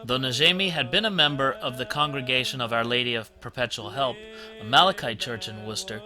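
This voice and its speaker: A man's voice: mid-range voice, fairly quick, bright, forceful tone. Man narrating a documentary over music. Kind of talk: scripted narration. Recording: music bed under the voice.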